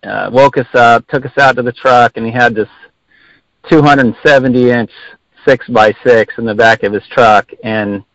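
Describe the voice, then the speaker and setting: A man's voice: mid-range voice, fairly even intonation, moderate pace, a bit bright, no room sound. A man chatting casually as an interview guest, heard over a dull, lo-fi remote line. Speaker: hunting guide, podcast guest.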